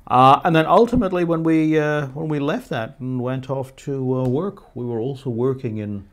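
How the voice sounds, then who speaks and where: A middle-aged man from Hong Kong, a guest in a casual indoor chat, talking haltingly, repeating words, with a dry teasing humor. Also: mid-range voice. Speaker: guest, the host's husband. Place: indoor studio set with a painted brick wall.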